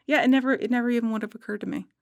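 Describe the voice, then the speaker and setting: A woman chatting casually as a podcast guest, telling stories in an animated, self-mocking way full of filler "like"s. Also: a little high, gravelly, dry recording, clean studio sound. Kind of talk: casual chat, anecdotes.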